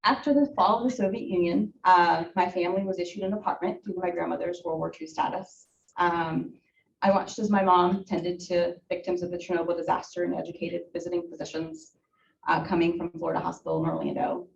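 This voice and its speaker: A woman's voice: lowish voice, fairly even intonation, brisk, gravelly, slight background noise. A woman in her thirties from Ukraine, a native Russian speaker, giving a personal talk to a club with many fillers and restarts. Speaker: guest speaker. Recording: echoey room from far off, dull.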